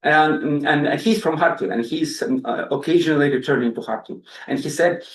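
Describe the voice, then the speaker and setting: A man giving an academic lecture as guest speaker, halting, with many fillers and repeated words. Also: on the high side. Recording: roomy, distant lecture-hall sound.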